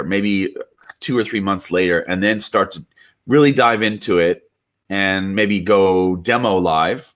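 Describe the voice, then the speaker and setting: Man with a cold, low voice, teaching. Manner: relaxed, explanatory, with fillers and restarts. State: getting over a cold. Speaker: trading educator and channel host. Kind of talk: casual instructional talk to camera.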